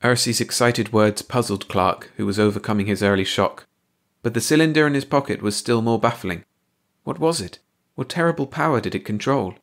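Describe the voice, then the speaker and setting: A man narrating a science-fiction short story aloud in an expressive, measured manner. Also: mid-range voice. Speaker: audiobook narrator.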